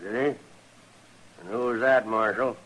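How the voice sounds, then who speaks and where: Gruff, bullying Old West rancher. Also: male voice, fairly low pitch, a little slow, fairly bright tone, low-quality recording. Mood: hostile, dismissive. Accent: American. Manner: gruff, belligerent, sneering. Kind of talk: old-time radio western acting.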